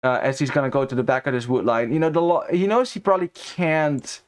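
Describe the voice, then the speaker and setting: A man's voice: mid-range voice, a little fast. A young Dutch man in his twenties casting a strategy game live, chatting with viewers and rambling with many restarts. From Netherlands. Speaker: esports caster. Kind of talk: live game commentary with chat banter.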